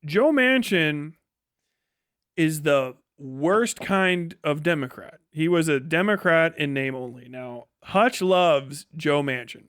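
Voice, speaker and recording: A man's voice: on the high side, expressive, slow pace, fairly bright tone, smooth, no echo, clean studio sound. A man giving casual, ranting live-stream political commentary in a profane, scornful, emphatic way, often repeating phrases for emphasis.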